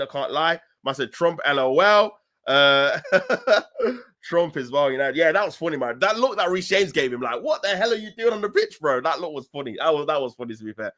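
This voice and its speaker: A man with a London accent hosting a football fan livestream, chatting casually and answering viewers in an animated, slangy, emphatic way. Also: a little high. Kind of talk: casual live chat, reading and answering viewer comments.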